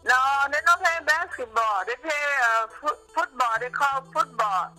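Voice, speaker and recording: A middle-aged or older woman with a Thai accent chatting casually on a phone call, haltingly searching for words. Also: high voice, expressive, sharp tone. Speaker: guest, host's mother. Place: on a long-distance phone call. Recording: phone call, music behind.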